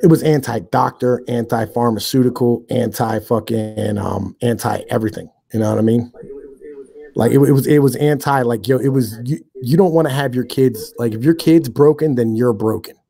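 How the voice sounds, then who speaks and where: A man's voice: mid-range voice, normal speed, creaky, warm tone, slightly rough. A man in his thirties talking bluntly as a guest, swearing freely and using street slang, checking in with "you know what I mean.". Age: 30s. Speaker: podcast guest. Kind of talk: casual interview chat.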